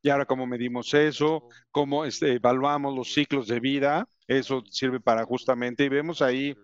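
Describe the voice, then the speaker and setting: A man with an English accent and English as his first language, giving a conference presentation in a halting way, with many fillers and restarts. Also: mid-range voice, fairly even intonation, clear, resonant tone, lo-fi sound. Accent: English speaker's Spanish. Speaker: company representative presenting to educators.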